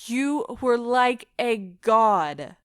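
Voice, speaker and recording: A woman playing an anguished, proud wife in an audio drama, speaking with deliberate emotional intensity. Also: fairly high pitch, lively intonation, fairly bright tone, smooth, no room sound, clean studio sound, full character voice. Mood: confessing, intense. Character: anguished, proud wife pleading as her marriage ends.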